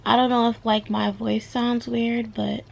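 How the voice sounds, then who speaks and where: A woman of nineteen from New York, vlogging her morning routine at home in a relaxed, rambling way, her voice raspy from just waking up. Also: on the high side. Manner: chatty, drawn-out, filler-heavy talk. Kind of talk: casual morning-routine vlog. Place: at home, bedroom and bathroom.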